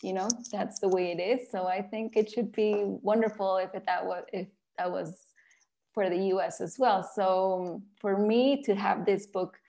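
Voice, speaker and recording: A woman's voice: medium pitch, steady tone, moderate pace, dark tone. A woman from Mexico with a Mexican accent and Spanish as her first language, answering interview questions conversationally as an author and illustrator.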